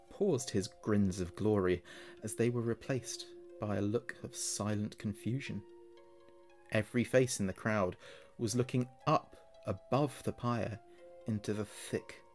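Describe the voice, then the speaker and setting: Male narrator for horror audio: low register, very slow and ominous, dramatic scripted read, soft music bed. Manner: measured, dramatic, ominous delivery. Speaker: horror storyteller.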